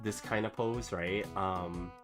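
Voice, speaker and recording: A man's voice: deep voice, fairly even intonation, takes it slowly. A man chatting casually while he draws, rambling with many fillers and restarts, still getting over a cough. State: recovering from a cough. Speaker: artist streaming a drawing session. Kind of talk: casual chat while drawing. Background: soft background music.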